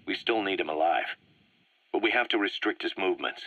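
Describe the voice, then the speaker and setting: A man acting a stern, distrustful military interrogator in a video game, briefing with measured authority. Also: deep voice, pushed and bright, dry recording. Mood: stern, suspicious. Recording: dull, dry radio-like cassette recording.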